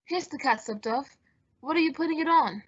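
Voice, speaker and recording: Fretful comic male sidekick woman actor, sharp high voice, fussy, dramatic radio-play exclamations. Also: fairly quick. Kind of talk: old-time radio drama acting. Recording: dull lo-fi audio.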